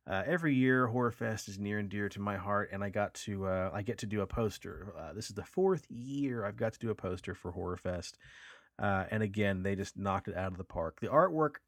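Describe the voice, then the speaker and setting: A man's voice: on the low side, no echo, studio quality. A man co-hosting a podcast in casual chat, upbeat and slangy with frequent uh fillers. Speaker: podcast co-host and poster artist.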